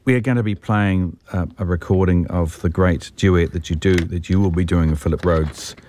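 Low-voiced New radio host. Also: male voice, steady tone, moderate pace, darkish tone, a little hiss or hum. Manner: curious, conversational questioning. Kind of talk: radio interview.

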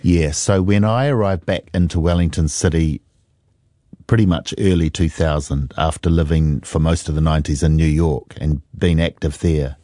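A man's voice: a bass voice, fairly even intonation, moderate pace, warm tone, dry recording, clean studio sound. A middle-aged New Zealand man, a musician, telling stories reflectively and unhurriedly in an interview, with many fillers. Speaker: musician guest. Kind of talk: relaxed interview storytelling.

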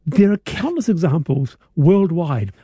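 A man's voice: a little high, very animated, unhurried, mellow, slightly rough, dry recording, clean studio sound. A man hosting a radio show, upbeat and teasing, reading listener messages aloud. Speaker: radio host.

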